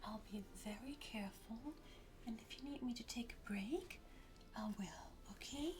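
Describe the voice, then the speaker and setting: A woman doing an ASMR roleplay as a pet groomer, speaking gently and encouragingly in a soothing, hushed whisper close to the microphone. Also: a little high, normal speed, background noise.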